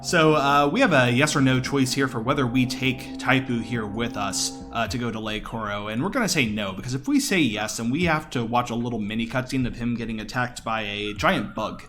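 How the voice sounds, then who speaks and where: A man's voice: mid-range voice, brisk, hardly any pauses, a bit bright, soft background music. A man giving casual live commentary as a speedrunner, explaining informally with wry asides.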